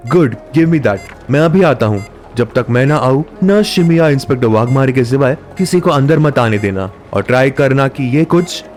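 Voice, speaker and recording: Firm male doctor with lively intonation acted. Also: medium pitch, moderate pace, faint music behind, close to an everyday voice. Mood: stern. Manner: firm, instructing. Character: authoritative, protective male doctor, brisk and stern. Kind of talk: audio drama acting.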